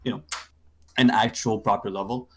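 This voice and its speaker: A man's voice: lowish voice, fairly even intonation, moderate pace. A young man from Quebec, a streamer appearing as a guest, chatting in a laid-back, self-deprecating, rambling way. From Quebec City, Canada. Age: young adult. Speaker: talk show guest, gaming streamer. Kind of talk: casual chat.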